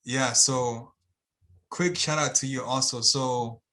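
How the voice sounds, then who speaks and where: A man's voice: mid-range voice, steady tone, slow pace, bright, forceful tone. A man from Haiti with a Haitian accent, a guest talking on a video call in an earnest, rambling way with lots of fillers. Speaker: guest, channel owner. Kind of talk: casual video-call interview.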